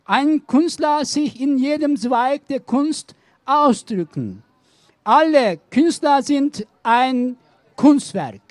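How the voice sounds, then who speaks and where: A woman slowly reciting a poetic art manifesto in a declamatory, repetitive way. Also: high voice, animated, a little mellow, dry recording.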